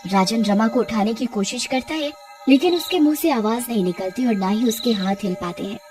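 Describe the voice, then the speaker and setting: Female narrator, higher pitch, dramatic scripted storytelling, music bed. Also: brisk.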